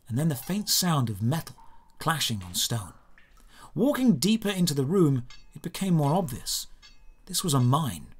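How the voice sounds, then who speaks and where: A man narrating a scripted first-person fantasy audio drama, with measured, descriptive, dramatic phrasing. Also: a little high.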